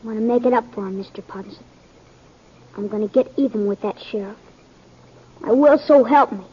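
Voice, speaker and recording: A woman's voice: on the high side, a little fast, husky. A woman playing a grieving, defiant eleven-year-old American boy in a 1950s radio western. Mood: vengeful. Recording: dull old-time radio recording.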